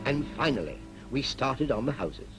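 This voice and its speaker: An actor with a British accent playing a brisk, persuasive town planner in a 1940s animated public information film, clipped and instructive. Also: male voice, medium pitch, lightly acted, low-quality recording. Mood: brisk, explanatory. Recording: vintage 1940s film soundtrack with music.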